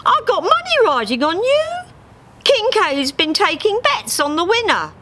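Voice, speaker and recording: A woman's voice: extremely high pitch, big pitch swings, a little slow, fairly bright tone, voice pushed up, heavily acted. A woman voicing a bossy, scheming female duck dance teacher with a brash, colloquial manner, in a storybook reading. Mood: exasperated. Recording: live theatre recording with background noise.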